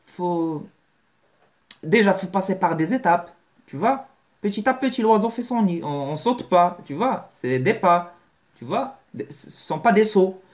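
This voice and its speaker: Animated man talking to camera. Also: high voice, a little slow. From Morocco. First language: Moroccan Arabic. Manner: animated, blunt, slangy. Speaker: vlogger. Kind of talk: casual monologue to camera.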